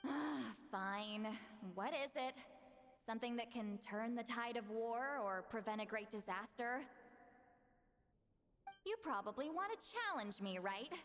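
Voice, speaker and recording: Casting: proud, brusque game shaman, guarded, slightly high voice, reverberant and a little noisy. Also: unhurried, a bit bright. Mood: impatient, challenging.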